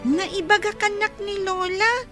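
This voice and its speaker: A woman's voice: very high-pitched, measured pace, over music. A woman playing a small, innocent and curious granddaughter in an Ilocano audio drama. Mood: timid, pleading. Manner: childlike, inquisitive.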